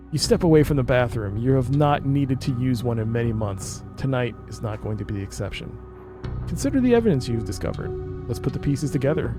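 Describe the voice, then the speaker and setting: A man streaming a game, reading its narration aloud and adding casual commentary. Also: medium pitch.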